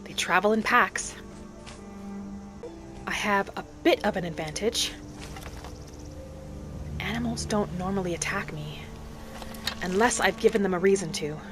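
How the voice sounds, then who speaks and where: Woman playing a gentle, musing wood elf forager over music. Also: mid-range voice, measured pace, long pauses, close to an everyday voice. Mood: calm, musing. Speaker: player of a solo fantasy roleplay video series. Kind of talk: in-character game narration.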